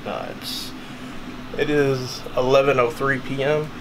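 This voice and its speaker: A man's voice: mid-range voice, fairly even intonation, a little slow, background noise. A young man vlogging casually in a small cabin, talking at arm's length to his camera with a rambling, self-deprecating manner. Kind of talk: casual vlog chat. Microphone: camera held at arm's length.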